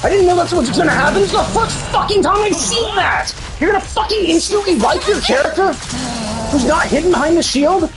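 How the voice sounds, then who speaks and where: A woman's voice: very high voice, lively intonation, a little fast, over music. A woman doing live video game commentary, ranting in an exasperated, heavily sweary way.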